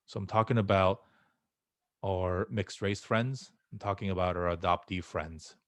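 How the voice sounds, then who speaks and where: A man's voice: sits low, no echo. A man in his late thirties with an American accent, giving earnest answers in an online talk and posing rhetorical questions. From Korea, moved to the United States at eight. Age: late 30s. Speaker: guest speaker.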